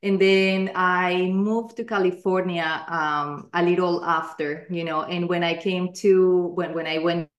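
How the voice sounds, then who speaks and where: Woman, 40s, from Colombia, fairly low voice, echoey home room. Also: steady tone, measured pace, hardly any pauses, muffled recording. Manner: earnest, many fillers and restarts. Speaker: guest, life coach. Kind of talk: video call interview. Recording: roomy laptop audio.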